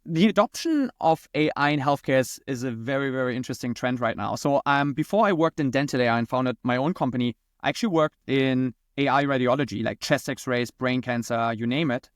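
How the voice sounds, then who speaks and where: A man's voice: medium pitch, fairly quick, hardly any pauses, no room sound, clean studio sound. A man from Germany with a German accent, answering conversationally as a founder interviewed on a podcast. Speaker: podcast guest, company founder and CEO.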